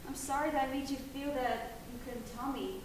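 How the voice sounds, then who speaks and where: A woman's voice: sits high, fairly even intonation, moderate pace, lightly acted. A woman playing a loving but suspicious mother who keeps asking anxious questions of her grown daughter. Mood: tender. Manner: anxious, questioning, rising to alarm. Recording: echoey room, distant mic, noisy ambience.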